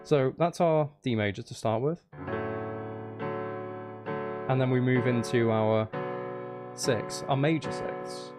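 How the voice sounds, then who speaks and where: A man's voice: mid-range voice, takes it slowly, pauses often. A man chatting casually on a live stream as he makes music, geeky and playful, thinking aloud in a rambling way.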